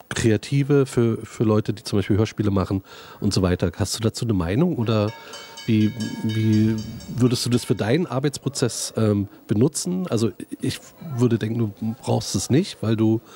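A man moderates a panel discussion, his voice low and mellow. He asks long questions, circling around his point with fillers before he gets there. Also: a little hiss or hum. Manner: rambling, searching for words in questions.

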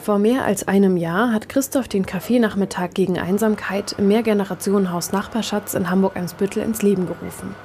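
A woman's voice: medium pitch, brisk, few pauses, warm tone, a little hiss or hum. A woman reporter reads scripted narration for a radio reportage.

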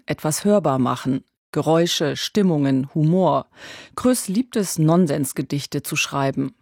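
A narrator reading a scripted radio feature about a writer in a calm, clear way. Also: mid-range voice, dry recording, studio quality.

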